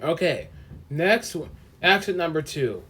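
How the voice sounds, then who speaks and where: A man hosting a casual comedy podcast, talking haltingly with many restarts and frequent laughs. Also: on the high side, very animated, fairly bright tone, a little hiss or hum. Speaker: podcast host.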